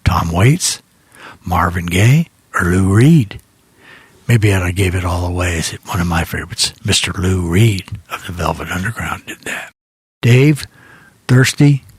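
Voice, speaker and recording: Man, low slightly husky voice, close dry sound, folksy rambling radio host, casual chat. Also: unhurried, no room sound. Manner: folksy, rambling, repeating himself.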